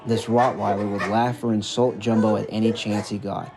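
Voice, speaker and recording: A man reading a scripted story narration in a measured, earnest way. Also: lowish voice, little pitch movement. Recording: music bed under the narration. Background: background music.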